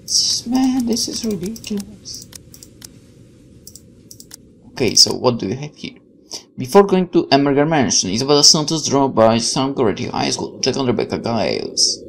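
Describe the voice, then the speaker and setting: A man in his twenties reading game text aloud with excitable, chatty asides and some stumbles. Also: mid-range voice, normal speed, gravelly. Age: 20s. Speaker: gaming video host. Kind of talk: game let's play, reading aloud and reacting. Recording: faint background noise.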